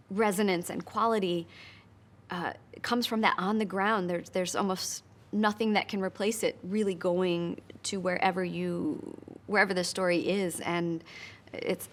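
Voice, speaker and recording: A woman with a fairly low voice gives reflective commentary in a documentary interview. She circles back over words for emphasis.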